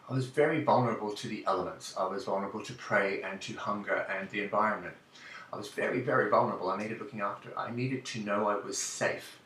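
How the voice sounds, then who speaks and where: A man with a lowish voice talks earnestly and encouragingly, pausing on ums and restarting his phrases. He sounds a little distant from the mic, in a roomy, echoing space with faint background noise. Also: sharp tone. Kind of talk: direct-to-camera talk.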